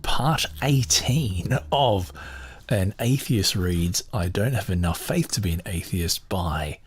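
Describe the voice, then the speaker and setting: A man with a deep voice hosting his podcast, talking in a relaxed, rambling way with hesitations and self-corrections. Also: slow pace, husky. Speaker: podcast host. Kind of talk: casual podcast chat.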